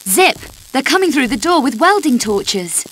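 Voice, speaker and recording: A woman's voice: high-pitched, sing-song, moderate pace, a bit bright, full character voice. A woman with a British accent playing a dry, sarcastic, fearless adventurer in a video game. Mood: urgent. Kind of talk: video game cutscene dialogue.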